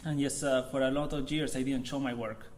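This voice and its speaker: A man from Chile in his thirties with a Chilean accent, giving an informal artist talk to an audience in a halting way, searching for words. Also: mid-range voice, fairly even intonation. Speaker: visiting artist.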